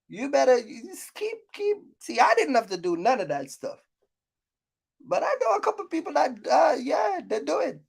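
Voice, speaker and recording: Woman, fairly high voice, casual rant, blunt, scolding, emphatic repetition, vlog host. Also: big pitch swings, normal speed, vocal fry. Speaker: YouTube host giving trucking business advice. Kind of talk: casual unscripted rant to viewers.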